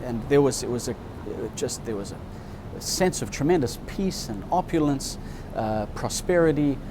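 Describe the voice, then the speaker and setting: A candid man in his fifties recounts his past in a thoughtful, earnest way. His voice sits at an ordinary middle pitch. A steady rumble of outdoor traffic hums clearly behind him. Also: normal speed. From Zimbabwe. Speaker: interviewee, subscriber. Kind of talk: personal storytelling in an interview.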